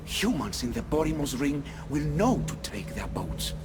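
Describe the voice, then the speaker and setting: Grave, weary ancient ruler over loud music. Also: male voice, fairly high pitch, very animated. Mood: weary, resigned. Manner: grave, measured, formal, theatrical. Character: weary, solemn male ruler of a doomed ancient city. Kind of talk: dramatic video game voice acting.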